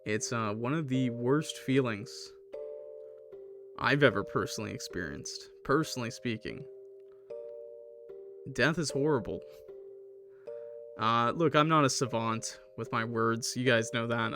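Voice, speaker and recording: A man chatting casually on a livestream as a gamer, halting and hesitant, with a self-deprecating manner. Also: mid-range voice, over music. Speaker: streamer playing and reviewing a game. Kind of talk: casual livestream chat, reacting to a game and viewer comments.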